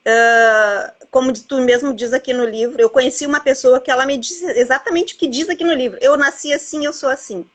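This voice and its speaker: Woman, 50s, higher register; casual book-chat host who rambles with tag questions. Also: a little fast. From southern Brazil border region. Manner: rambling, lots of "né" and "assim, ó". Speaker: bookseller interviewing an author.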